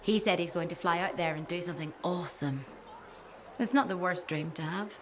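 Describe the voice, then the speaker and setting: A woman's voice: on the low side, a little fast, background noise, lightly acted. An actress playing a caring woman mechanic with a dry sense of humor in a video game. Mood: tender. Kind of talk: video game cutscene acting.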